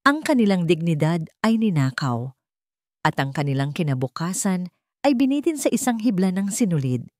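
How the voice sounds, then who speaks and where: Filipina storyteller, lowish voice, dramatic measured narration, really dry close sound. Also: female voice, sing-song, a little mellow, dry recording.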